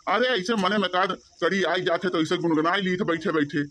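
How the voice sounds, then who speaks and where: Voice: medium pitch, brisk, a bit bright, lightly acted. An actor voicing a grumbling, suspicious elderly village father-in-law in a comedy cartoon, rambling with a rustic Awadhi accent. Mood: gossipy, anxious. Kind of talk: comic cartoon dialogue in village dialect.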